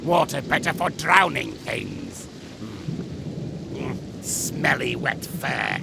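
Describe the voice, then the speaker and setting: A man's voice: mid-range voice, lively intonation, drawn-out words, full character voice. A man voicing verminous, scheming ratman warlords for a video game, irritable and snarling, with jittery, broken phrasing and repeated words. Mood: irritable, dismissive. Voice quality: gravelly, snarling. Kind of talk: video game unit voice lines. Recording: rain and thunder noise over voice. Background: rain, thunderstorm ambience.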